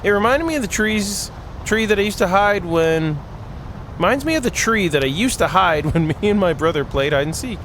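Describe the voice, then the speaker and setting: A man acts out a wistful young girl from a visual novel, anxious and sleepy. The voice sits high and speaks haltingly, with noise behind it. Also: animated, fairly bright tone. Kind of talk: visual novel character read aloud.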